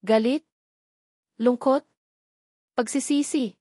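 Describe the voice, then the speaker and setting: A woman's voice: high voice, steady tone, slow, warm tone, breathy edge, dry recording. A woman with a Filipino accent reading a dramatic scripted story as an audiobook narrator, in a dry recording.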